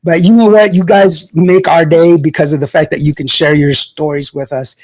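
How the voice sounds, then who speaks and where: A man's voice: a little high, steady tone, normal speed, dry recording. A man hosting a casual radio show, rambling in a laid-back way and laughing often.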